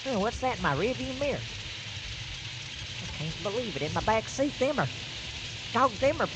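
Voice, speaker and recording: An actor playing a folksy, easily rattled small-town deputy with a Southern drawl in a comic radio drama. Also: male voice, high voice, sing-song, normal speed, heavy background noise. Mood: astonished. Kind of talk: old-time comic radio acting.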